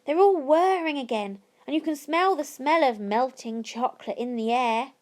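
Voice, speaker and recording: A woman voicing astonished, gossiping townspeople who exclaim with excitement. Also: sits high, moderate pace, natural voice. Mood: amazed, excited.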